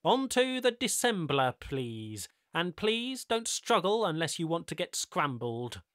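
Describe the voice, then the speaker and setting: A man's voice: a little high, very animated, a bit bright, no echo, studio quality, pitched above the performer's own voice. A man playing a suave, courteous godlike overseer. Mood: resigned. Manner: smooth, measured, faintly weary politeness.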